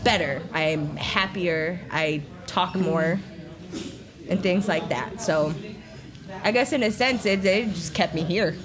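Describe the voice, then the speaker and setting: A woman's voice: on the low side, takes it slowly. A woman in her late twenties, a podcast guest speaking frankly and a bit self-deprecatingly. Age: late 20s. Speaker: podcast guest, jiu-jitsu athlete. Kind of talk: casual podcast chat. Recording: noisy room with crowd chatter.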